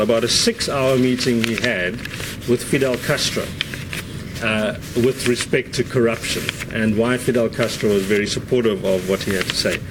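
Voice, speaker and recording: A man's voice: mid-range voice, noisy background. Man introducing a speaker. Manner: conversational, frequent uh fillers and "right?" tags. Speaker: seminar host.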